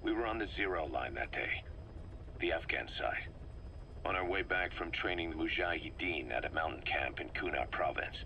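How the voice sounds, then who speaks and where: An actor playing a grim, embittered mercenary commander, recounting things with intense deliberation in a dramatic video game style. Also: male voice, extremely low pitch, lively intonation, normal speed, sharp tone, exaggerated voice. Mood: grim, haunted. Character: embittered, driven male mercenary commander briefing his boss. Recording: faint noise, cassette tape recording.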